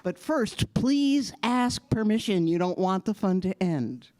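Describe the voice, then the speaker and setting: A woman's voice: mid-range voice, animated, a little slow, a little mellow. A woman presenting live children's audio theater about sound effects, instructing a young audience. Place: live library event before an audience.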